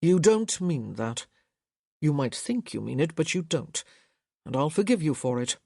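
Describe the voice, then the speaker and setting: A woman voicing a stern, proud young gentleman in an audiobook, speaking firmly with controlled, clipped delivery. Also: sits low, animated, a little slow, no room sound, studio quality. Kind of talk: audiobook character voice.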